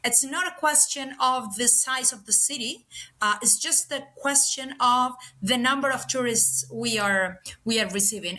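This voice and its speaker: Bright-voiced Spanish woman explaining. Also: fairly high pitch. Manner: explanatory, slight non-native phrasing. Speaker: tourism guest from Valencia. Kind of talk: interview answers.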